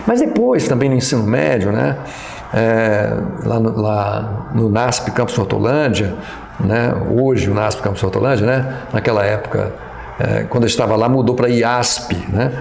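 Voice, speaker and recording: A middle-aged Brazilian man with a gravelly, creaky voice, reminiscing slowly in an interview. He hesitates and restarts often, padding his thoughts with fillers. The room is echoey, with faint noise behind him. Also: fairly low pitch, a little mellow. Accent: Brazilian Portuguese. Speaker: pastor and professor interviewee.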